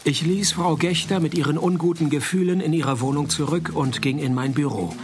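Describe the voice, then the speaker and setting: An actor playing a wry, deadpan male private detective who narrates and talks through a noir parody radio play. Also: a little high, fairly quick, faint music behind, natural voice. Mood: deadpan narrating. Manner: dry, sardonic, laconic.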